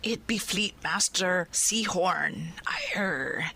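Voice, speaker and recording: A woman's voice: on the low side, slow pace, pushed and bright, slightly rough, exaggerated voice. A woman playing a playful, hearty pirate hostess on a radio show, with piratey grammar and growled arrs.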